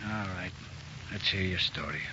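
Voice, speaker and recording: An American actor playing a menacing, distrustful gangster husband in a 1940s radio crime drama, curt and sardonic. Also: male voice, low voice, moderate pace, bright, forceful tone. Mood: cold menace. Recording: muffled, noisy old radio recording.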